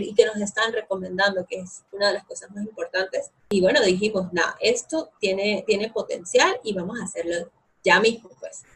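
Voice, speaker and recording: A woman's voice: medium pitch, husky, rough recording. A young woman, talking about her academy in an interview, enthusiastic and full of fillers. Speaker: guest, co-founder of an online English academy. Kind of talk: conversational interview.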